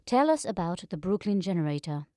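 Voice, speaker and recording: Casting: female theatre interviewer, lowish pitch, conversational, dry sound. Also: sing-song, fairly quick, mellow, no room sound. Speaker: interviewer, theatre artistic director. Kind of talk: interview questioning.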